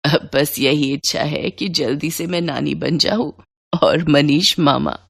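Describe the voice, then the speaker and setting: A woman playing an affectionate low-pitched older mother. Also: steady tone, measured pace, gravelly, a bit bright, no echo, clean studio sound, heavily acted. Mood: tender, contented. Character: affectionate older mother and mother-in-law, settled, blessing. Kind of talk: acted storybook dialogue.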